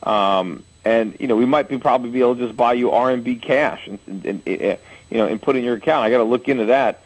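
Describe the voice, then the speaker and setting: A husky-voiced man in his forties hosts a call-in radio show. He talks at an everyday pitch and lays out his views through plain, homely comparisons. He often trips over his own words and restarts. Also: fast, rough recording. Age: 40s. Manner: explains with homely analogies, frequent restarts.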